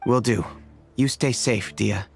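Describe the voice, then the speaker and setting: A man voicing a gruff, easygoing male mercenary scout in a video game, with a husky voice. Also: mid-range voice, slow pace, soft background music. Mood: matter-of-fact, friendly.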